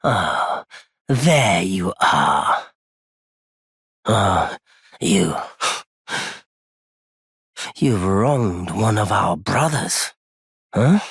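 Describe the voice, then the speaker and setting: An actor playing a wary, proud nomadic merchant in a video game, with a husky, gravelly voice and a weighty, theatrical delivery. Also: male voice, sits low, sing-song, drawn-out words, long pauses, fairly bright tone, no echo, clean studio sound, full character voice. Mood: menacing. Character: wary, courteous nomadic male merchant turned bitter and vengeful. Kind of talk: scripted game character lines.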